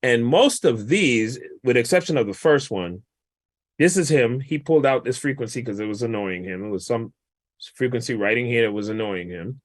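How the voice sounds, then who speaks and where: A man, a guest mix engineer, casually walking through a vocal mixing session in a relaxed, explanatory, colloquial way. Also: mid-range voice, moderate pace, no room sound.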